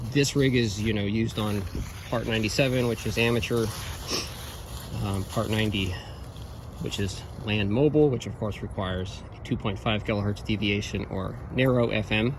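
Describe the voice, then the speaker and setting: A man giving an informal, unscripted technical review, explaining things in a halting way. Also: lowish voice, vocal fry, background noise. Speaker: radio equipment reviewer.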